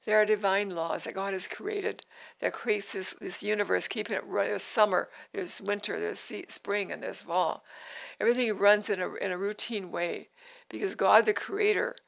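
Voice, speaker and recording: A woman's voice: mid-range voice, steady tone, normal speed, creaky, poor audio. A woman in her eighties, hosting a devotional podcast in an earnest, repetitive way with frequent restarts. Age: 80s. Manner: earnest, repeats phrases, restarts sentences. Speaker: podcast host.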